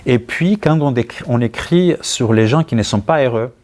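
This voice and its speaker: Male author with Greek accent, medium pitch, thoughtful and halting interview delivery. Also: animated, darkish tone. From Greece. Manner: halting, searching for words, thoughtful. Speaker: writer being interviewed. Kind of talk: conversational answers at a book talk.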